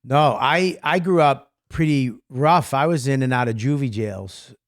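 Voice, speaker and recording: A man with a Boston accent tells his life story as a guest comedian. He rambles and stumbles over restarts, mocking himself. His voice sits mid-pitch in a dry, close recording. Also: no echo. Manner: rambling, self-deprecating, halting restarts. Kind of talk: interview storytelling.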